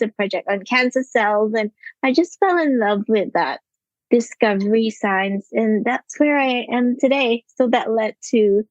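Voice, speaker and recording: A woman's voice: fairly high pitch, no room sound, dull audio. A woman who grew up in Malaysia, answering interview questions in a thoughtful, storytelling way. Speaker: scientist guest.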